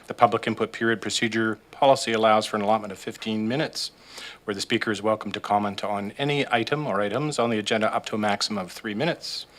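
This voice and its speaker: Bright-toned man giving agenda briefings. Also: on the low side, steady tone, fast talker. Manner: plain, some fillers and restarts. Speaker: staff officer presenting agenda. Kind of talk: procedural briefing. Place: council boardroom. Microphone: desk microphone.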